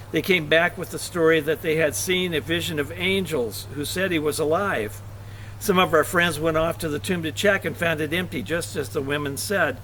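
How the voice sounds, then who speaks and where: A man reading scripture aloud for a church service, expressive, with the odd stumble and self-correction. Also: fairly high pitch, a little hiss or hum, rough recording.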